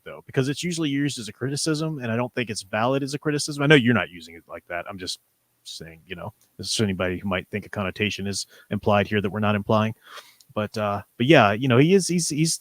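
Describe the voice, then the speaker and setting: A man's voice: medium pitch, no room sound, studio quality. A man hosting a live stream, talking casually in an enthusiastic, opinionated, rambling way and reading out viewer comments.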